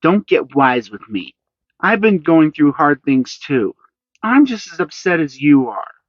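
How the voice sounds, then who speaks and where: Reader putting on a strict mom voice, firm and bossy, a bit higher than the narration. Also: sing-song, a little slow, dry recording, muffled recording. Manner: firm and stern. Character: firm, strict mother of two.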